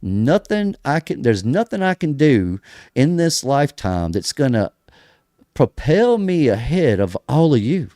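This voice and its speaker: An American man hosting a religious podcast, delivering emphatic commentary full of rhetorical questions, pauses and restarts. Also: mid-range voice, big pitch swings, dark tone, dry recording, clean studio sound. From United States. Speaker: podcast host. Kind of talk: preaching-style religious commentary.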